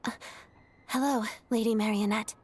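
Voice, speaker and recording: Woman voicing poised, higher-pitched young soldier. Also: fairly even intonation, moderate pace, slight background noise, close to an everyday voice. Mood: composed, courteous. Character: composed, courteous young female soldier and ballerina. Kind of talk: video game voice acting.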